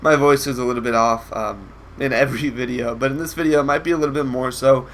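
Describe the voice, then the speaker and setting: A man's voice: medium pitch, fairly even intonation, fast talker, a little hiss or hum. A young man with a nasal, congested voice, feeling sick, giving casual commentary over a video game match with lots of ums and restarts. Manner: rambling with many ums and restarts. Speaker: gameplay commentator.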